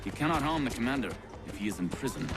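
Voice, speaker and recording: A man's voice: mid-range voice, expressive, fast, over music, close to an everyday voice. A man playing a confident, friendly young revolutionary ally in a video game, speaking in an assured, articulate way. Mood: businesslike.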